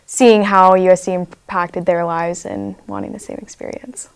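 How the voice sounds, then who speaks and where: A woman's voice: medium pitch, level tone, normal speed, vocal fry, clear, resonant tone. A young woman from South Dakota, vice president of the student government, giving thoughtful, earnest answers with casual filler words. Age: young adult. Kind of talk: interview answers.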